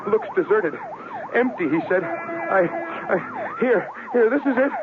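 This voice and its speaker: An actor playing a fragile, paranoid young artist who swings between babyish pleading and terse muttering, in 1970s radio drama style. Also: male voice, sits high, animated, unhurried. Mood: anxious, fleeing. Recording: tinny, lightly noisy old radio recording.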